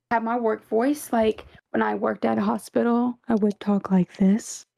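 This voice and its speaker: A woman's voice: fairly high pitch, steady tone, unhurried, gravelly, mellow. A young woman with a Southern US accent co-hosting a casual fan podcast, chatty and rambling with many fillers. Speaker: podcast co-host.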